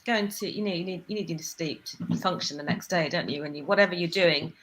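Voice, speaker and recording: A British woman with a low voice co-hosts a casual chat and keeps restarting her phrases. A crowd can be heard clearly in the background. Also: a little fast, rough recording. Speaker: co-host.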